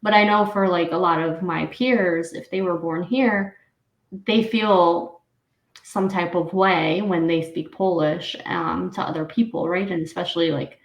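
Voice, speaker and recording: A woman's voice: on the low side, fairly even intonation, a little slow. A woman in her thirties from New Jersey with a New York–New Jersey accent, hosting an interview and asking questions in a hesitant, rambling way with many ums. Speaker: podcast host. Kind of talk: interview chat. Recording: echoey room.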